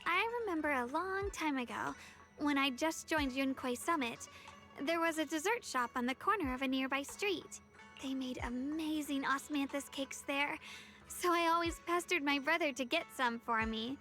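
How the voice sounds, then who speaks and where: An actress playing a gentle, polite young swordswoman in an anime-style game, earnest and soft-mannered. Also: high-pitched, normal speed, soft background music. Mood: sweet, nostalgic.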